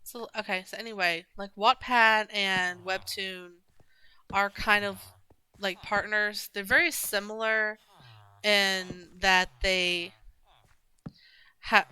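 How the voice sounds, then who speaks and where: A woman's voice: mid-range voice, steady tone, slow pace, long pauses, pushed and bright, smooth. A young woman chatting casually and rambling while she plays a game on stream, with many restarts and fillers. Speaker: gaming streamer.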